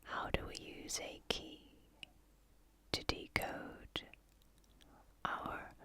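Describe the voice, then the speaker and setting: An ASMR host whispering as they read aloud and explain in a scholarly, deliberate manner. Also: bright, forceful tone.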